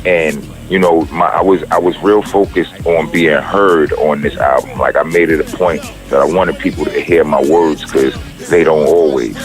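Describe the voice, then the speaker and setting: A middle-aged man with a deep voice, a rapper talking casually in an interview, rambling and profane, with many restarts. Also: fairly even intonation, normal speed, hardly any pauses, soft background music.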